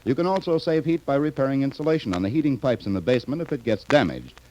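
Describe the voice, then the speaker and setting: Mid-pitched male old-time radio announcer. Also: lively intonation, fast, darkish tone, poor audio. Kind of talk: 1930s scripted radio sponsor advertisement. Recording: faintly hissy 1930s radio recording.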